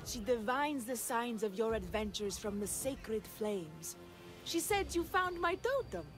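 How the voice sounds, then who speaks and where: An actress playing a devout, timid young shepherdess in a video game, halting and breathlessly reverent. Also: female voice, on the high side, sing-song, soft background music, rough recording. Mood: adoring, timid. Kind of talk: video game cutscene acting.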